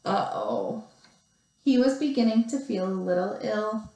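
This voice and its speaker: A woman teacher reading a picture book aloud to young children, expressively, with deliberate pauses and stretched emphasis. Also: medium pitch, sing-song, dark tone. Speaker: second grade teacher. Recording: roomy, echoey sound.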